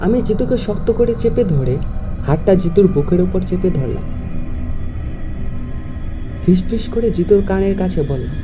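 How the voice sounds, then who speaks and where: Low-voiced woman narrating horror over music. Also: expressive, measured pace, long pauses. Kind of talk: scripted first-person horror story narration. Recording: music behind the voice.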